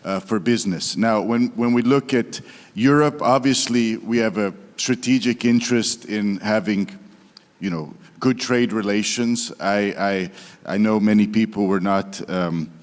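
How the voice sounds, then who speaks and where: Low-voiced man, halting panel remarks. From Croatia. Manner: halting, with frequent "uh" and restarts, but blunt and opinionated. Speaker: politician on a panel. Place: conference hall. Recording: long reverb of a conference hall.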